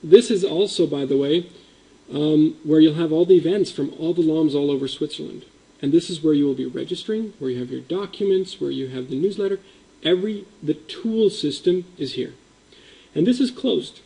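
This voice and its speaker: Mellow-voiced man giving a presentation. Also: a little high, normal speed, vocal fry, rough recording. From Indonesia. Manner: explanatory, emphatic with frequent ums and likes. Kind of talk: conference presentation. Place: meeting room.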